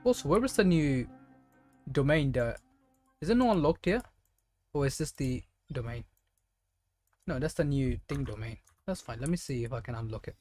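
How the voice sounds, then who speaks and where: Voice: mid-range voice, animated, moderate pace, pauses often, darkish tone, soft background music. A game streamer giving casual gameplay commentary in a rambling, thinking-aloud way. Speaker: game livestreamer.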